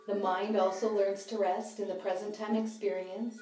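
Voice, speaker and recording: Female meditation guide, soft-edged mellow tone, calm measured phrasing, recorded slightly away from the mic with music behind. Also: medium pitch. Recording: slightly distant roomy sound, music behind.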